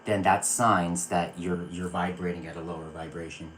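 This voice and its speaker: A man from Virginia hosting a spiritual live stream, talking earnestly and calming his viewers with a repeated "okay". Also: low voice, normal speed, slight background noise. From Virginia, USA. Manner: earnest, repeats words and restarts phrases. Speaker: psychic circle host. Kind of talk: live-stream spiritual talk answering chat.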